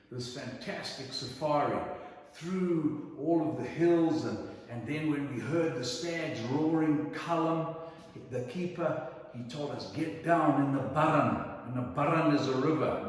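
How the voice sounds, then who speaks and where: A middle-aged man from Zimbabwe telling personal anecdotes in a theatrical, emphatic way with dramatic pauses. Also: medium pitch, darkish tone. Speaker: storyteller. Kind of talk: animated anecdotal storytelling. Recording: echoey hall, distant microphone.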